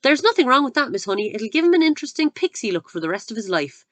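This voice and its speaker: Woman reading as a giant bully of a headmistress, barking and sneering, loud and harsh, lively swings in her voice, dry close sound. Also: fast talker, no room sound. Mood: gloating. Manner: barking, booming and contemptuous. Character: huge bullying middle-aged headmistress, cruel and scornful.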